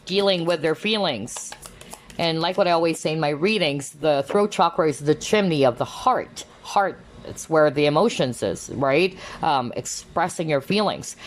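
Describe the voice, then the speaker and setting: Low-voiced chatty woman tarot reader. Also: a little hiss or hum. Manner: chatty, checks in with tag questions; animated, exclaims often. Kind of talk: casual pick-a-card reading.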